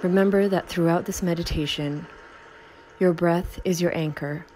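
A woman guiding a sleep meditation in a slow, soothing, unhurried way with long pauses. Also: fairly low pitch, steady tone, darkish tone, a little hiss or hum, rough recording. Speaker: meditation guide. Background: Tibetan singing bowl tones.

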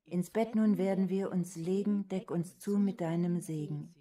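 An actress playing a fearful woman who relives her childhood in a trance, halting and intense, in an audio drama. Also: on the low side, steady tone, dark tone, close to an everyday voice. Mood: entranced, solemn.

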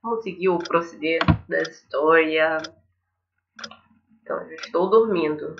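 A woman's voice: low voice, unhurried, dull audio, background noise. A young woman with a Brazilian accent giving casual let's play commentary as a gaming channel host. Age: young adult. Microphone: headset.

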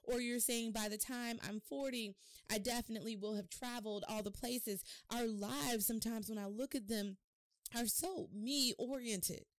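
A woman's voice: on the high side, moderate pace, no echo. A middle-aged woman hosting a devotional podcast, preaching expressively and putting rhetorical questions to her listeners. She gestures with her hands as she talks, recorded close in a home studio. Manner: animated hand gestures while talking. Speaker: podcast host. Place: home office studio, indoors.

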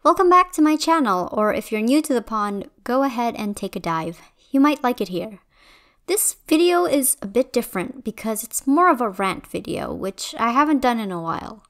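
A woman's voice: fairly high pitch, very animated, moderate pace, fairly bright tone. Young woman from Indonesia, video essay voice-over, conversational and opinionated. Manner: conversational, opinionated, a bit wry. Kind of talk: scripted commentary voice-over.

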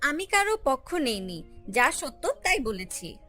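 A woman's voice: sits high, unhurried, heavily acted. A woman voicing a fair-minded, friendly bird in a children's cartoon, speaking earnestly. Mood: firm. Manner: earnest, even-handed. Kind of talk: children's cartoon storybook acting. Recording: music under the voice.

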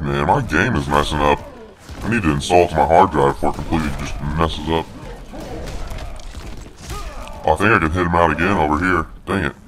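Guy doing a super low monster brute voice, snarky crude trash talk over a fighting game. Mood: frustrated. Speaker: gaming video commentator. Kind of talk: casual gameplay commentary.

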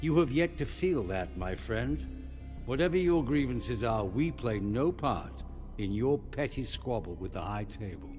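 An actor playing a wry, dignified older man in a video game, speaking in a measured, urbane, dry manner. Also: medium pitch, lively intonation, darkish tone, soft background music, close to an everyday voice. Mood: grave, measured. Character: wry, dignified older male captive hotelier. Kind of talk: dramatic video game acting.

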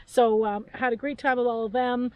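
A woman in her fifties from Canada talks in a slightly high voice, rambling and giggly with plenty of "um" and "uh". She sits outdoors by a camper van at dusk, picked up by a camera a few meters off. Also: fairly even intonation, moderate pace, darkish tone, low-quality recording. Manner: chatty, giggly, many fillers. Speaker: vlog co-host. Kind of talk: casual chat.

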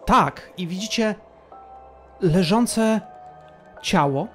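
A woman runs a tabletop roleplaying game as game master. She describes scenes with suspense in a medium-pitched voice, with faint music behind her. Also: animated, very slow.

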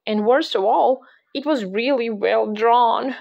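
A woman reading a picture book aloud to children, dramatic and expressive, dropping into hushed whispers at the creepy parts. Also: a little high, slow, creaky, muffled recording. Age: adult. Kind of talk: expressive read-aloud storytelling.

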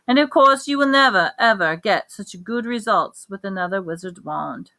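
An eccentric old man who sells wands, voiced by a woman reading a story. He drawls in a lilting way, then jabs with sudden sharp emphasis, and he probes every word with a bright, forceful tone. The sound is dry and close. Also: mid-range voice, animated, moderate pace, no room sound. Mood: eager. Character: eccentric old male wandmaker, intense and probing.

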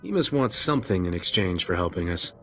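An actor playing a cocky, flirtatious starship captain in a video game, wry and self-assured with a slightly husky voice. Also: male voice, deep voice, sing-song, brisk, fairly bright tone, soft background music, heavily acted. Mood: wry, businesslike. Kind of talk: video game dialogue acting.

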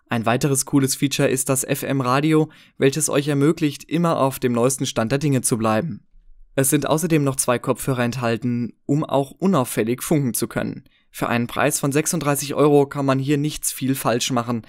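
A man's voice: medium pitch, no room sound. Man narrating a dry, close-miked product review. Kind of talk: scripted voice-over narration of a product comparison.

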